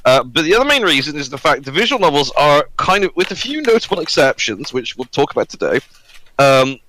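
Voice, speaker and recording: Man, sharp bright tone, dry close sound, talkative, emphatic, casual podcast explaining. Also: on the high side, big pitch swings, a little fast, dry recording, rough recording. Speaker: podcast panelist explaining the subject. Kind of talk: casual panel chat, explanatory.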